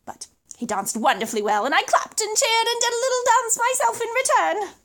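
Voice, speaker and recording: Animated, high-voiced woman storyteller. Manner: dramatic, emphatic, suspenseful pauses. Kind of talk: expressive children's story read-aloud.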